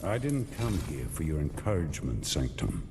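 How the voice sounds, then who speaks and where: Defiant warrior knight, extremely deep, terse and grave, varied intonation, fantasy video game. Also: male voice, sing-song, normal speed, noisy background. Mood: curt, defiant.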